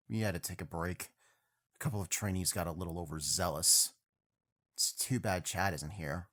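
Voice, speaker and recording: A man's voice: low-pitched, normal speed, pauses often, a bit bright. A man playing an earnest, kindly prince and masked hero in an audio drama.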